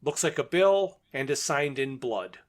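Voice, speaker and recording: A man's voice: on the high side, animated, a little slow. A man co-hosting a podcast, recapping a plot in a methodical, matter-of-fact way. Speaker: podcast co-host.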